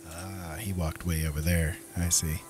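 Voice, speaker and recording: Low-voiced man casually narrating his gameplay. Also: level tone, unhurried, music in the background. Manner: playful, chatty, talks to himself. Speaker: gaming video host.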